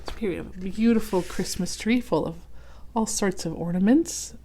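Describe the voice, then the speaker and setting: A woman reading a storybook aloud for young children, expressive, with chatty explanatory asides. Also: medium pitch, moderate pace, slight background noise. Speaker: children's read-aloud host.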